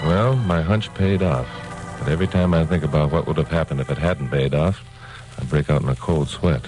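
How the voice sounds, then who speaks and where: Wry, tough newsman-detective, American, very deep voice, sardonic vintage radio style, music in the old recording. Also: a little fast, rough recording. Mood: wry, hardboiled. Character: wry tough male crime reporter narrating his case. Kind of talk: 1940s-50s radio detective acting, hardboiled narration.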